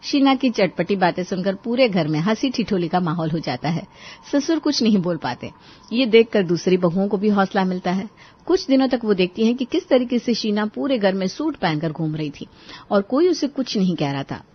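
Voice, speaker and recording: A woman's voice: mid-range voice, expressive, normal speed, breathy edge. A woman storyteller delivering scripted narration for a Hindi moral story. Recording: dull, lo-fi audio.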